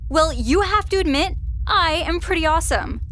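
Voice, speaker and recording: Very high, cocky self-destruct program played by a woman. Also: lively intonation, moderate pace, a bit bright. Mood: cocky, eager. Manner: brash, boastful, theatrical. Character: vain, explosion-hungry female self-destruct program, cocky and impatient. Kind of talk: comic sci-fi audio drama.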